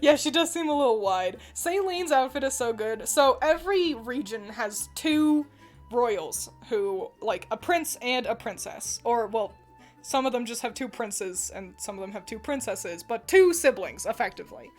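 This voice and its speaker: A young woman chatting casually as co-host, gushing with excitement and laughing often. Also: fairly high pitch, moderate pace, faint music behind. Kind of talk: casual chat.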